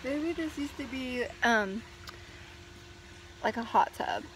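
A woman's voice: high voice, expressive, takes it slowly, a bit bright, slight background noise, rough recording. A woman in her thirties rambling casually to her phone camera outdoors by a backyard pool, full of fillers. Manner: rambling and chatty, with many fillers. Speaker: vlogger. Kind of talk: casual vlog chat. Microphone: phone held at arm's length.

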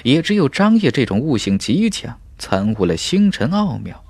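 A man's voice: medium pitch, sing-song, normal speed, darkish tone, no room sound, faint music behind. A man narrating a fantasy novel as an audiobook, in a dramatic, emphatic storytelling style.

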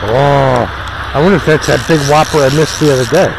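A man talking casually to himself as he fishes, muttering encouragement and exclamations, with a gravelly voice. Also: mid-range voice. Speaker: angler filming his own fishing. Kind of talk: casual self-talk while fishing. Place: outdoors on a wooded river. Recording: noisy outdoor recording with river noise. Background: rushing river water.

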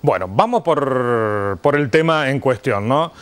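A middle-aged Argentine man hosts a live TV program from a studio desk. His voice is clean and resonant at an average pitch, and he talks conversationally, as if chatting to viewers and guests. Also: animated, unhurried, clear, resonant tone. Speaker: TV host. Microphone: lapel mic.

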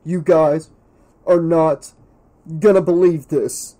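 Narrator doing a brash, husky teenage boy. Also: medium pitch, slow, dark tone. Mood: breathless excitement. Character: brash, callous teenage boy, gleeful gossip. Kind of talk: audiobook character dialogue.

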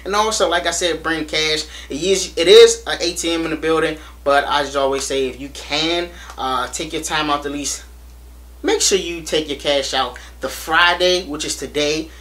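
A man's voice: a little high, normal speed. Hyped young man plugging a reptile show. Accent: American. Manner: hyped, animated, repeats himself a lot. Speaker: reptile channel vlogger. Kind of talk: casual to-camera promo vlog. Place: small indoor room against a plain wall.

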